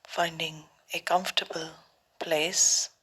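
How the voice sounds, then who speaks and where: A woman leading a guided meditation as a teacher, speaking softly and often near a whisper, in calm, deliberate short phrases with long pauses. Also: low-pitched, husky, rough recording.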